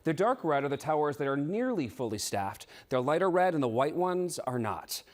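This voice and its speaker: Animated male TV news reporter. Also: a little high, moderate pace. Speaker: investigative reporter.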